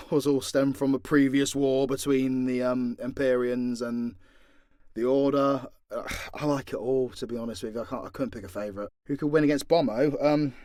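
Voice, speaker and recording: A man's voice: mid-range voice, a little mellow, no echo, studio quality. A British man answering fan questions in a casual, unscripted way, blunt and informal with plenty of swearing. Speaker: audio drama creator and voice actor answering fan questions.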